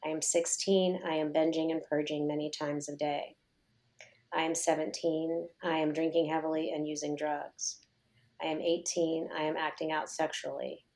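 A middle-aged woman, a yoga teacher, reading her own personal essay aloud in a slow, measured, reflective way. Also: low-pitched, little pitch movement, darkish tone.

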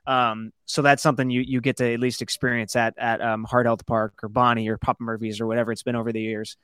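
A man's voice: mid-range voice, little pitch movement, fast talker, fairly bright tone, clear, resonant tone, no echo. A man hosting a podcast, chatting casually and rambling a little as he interviews his guest. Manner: conversational, rambling. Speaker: podcast host.

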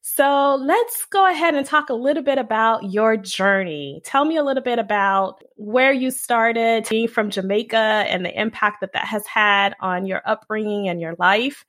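A woman's voice: fairly high pitch, moderate pace, hardly any pauses, a bit bright. A woman hosting an interview podcast, who asks questions in an upbeat, encouraging, polished way. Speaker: podcast host.

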